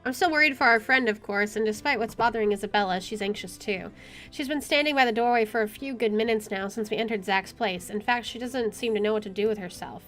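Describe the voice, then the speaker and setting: A woman's voice: mid-range voice, fast, fairly bright tone. A woman reading visual novel narration aloud as a game streamer, informally, with offhand asides. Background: game music.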